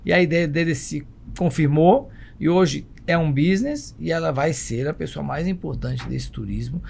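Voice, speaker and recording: A man's voice: fairly high pitch, moderate pace, gravelly, a little mellow, slight background noise. A man with a Brazilian Portuguese accent talking emphatically in a conversational interview, repeating himself and throwing in rhetorical questions. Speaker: travel agency entrepreneur, podcast guest.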